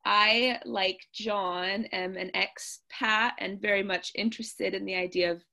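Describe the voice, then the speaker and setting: A woman's voice: mid-range voice, fairly even intonation, unhurried, creaky, sharp tone. American woman in her 30s, guest poet on a video call, chatty with lots of ums. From California. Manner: chatty, hesitant fillers. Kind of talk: festival introduction over video call.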